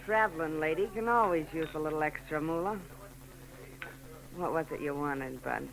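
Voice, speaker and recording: An actress playing a brassy, slangy, tough fortune teller in a 1940s radio drama. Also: female voice, low voice, moderate pace, vocal fry, a crowd in the background, poor audio. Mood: hostile.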